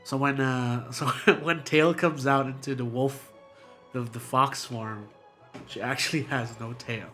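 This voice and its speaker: A man's voice: medium pitch, fairly even intonation, measured pace, a bit bright. A man streaming a game with casual, slangy, rambling commentary to his chat. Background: game music.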